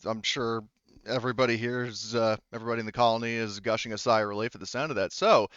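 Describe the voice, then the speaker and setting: A man voicing a cheerful, chatty female radio news host in a tabletop game, a polite but halting interviewer. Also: medium pitch, steady tone, a bit bright, clean tone, no echo, dull audio, natural voice. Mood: polite, inquiring.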